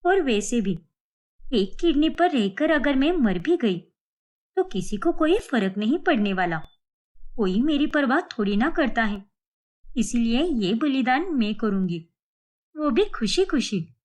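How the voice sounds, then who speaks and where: A woman playing a gentle, self-sacrificing young daughter-in-law who speaks earnestly in a cartoon melodrama. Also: fairly high pitch, big pitch swings, measured pace. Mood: resigned, earnest. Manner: earnest, pleading, selfless.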